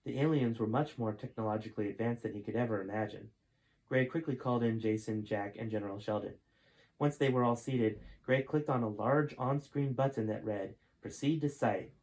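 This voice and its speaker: A man's voice: mid-range voice, fairly quick, a little mellow, rough recording. A man reading his own adventure book aloud as a storyteller, in scripted narration.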